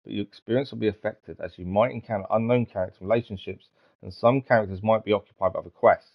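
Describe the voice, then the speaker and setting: A man's voice: on the low side, steady tone, fast talker, gravelly. Dull-sounding man streaming a game, musing aloud. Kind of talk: casual live gameplay commentary. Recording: dull lo-fi mic with game music. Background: game music.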